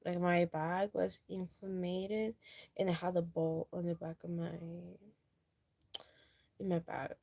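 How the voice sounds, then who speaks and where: Young woman, low creaky voice for a rambling chat vlog. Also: level tone, takes it slowly, pauses often, warm tone. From Peru. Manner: meandering, lots of fillers. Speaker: YouTuber.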